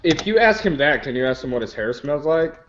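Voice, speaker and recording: A man's voice: fairly high pitch, big pitch swings, fairly quick, dull audio, crowd noise. A man in his early twenties hosting a group video call, teasing and reading out viewer questions. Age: 20s. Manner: rambling, teasing, reads out questions. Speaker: hangout host. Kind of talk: casual group video chat.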